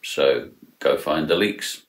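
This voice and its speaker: A man in his fifties talks through a technical demonstration in a very deep, low voice. He explains at a measured, steady pace and fills his pauses with frequent ums. He is recorded on a close camera at his desk in a small room lined with acoustic foam. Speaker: product demonstrator.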